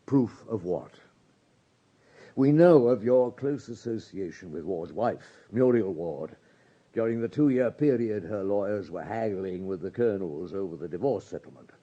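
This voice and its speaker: Courteous, relentless police superintendent, lively intonation. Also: male voice, fairly low pitch, muffled recording. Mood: coolly accusing. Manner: polite, measured, insistent. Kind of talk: radio drama acting.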